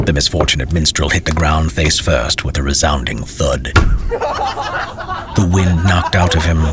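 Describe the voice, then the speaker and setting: A man reading a scripted fantasy story as narrator, in a measured, dramatic storytelling manner. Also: extremely low pitch.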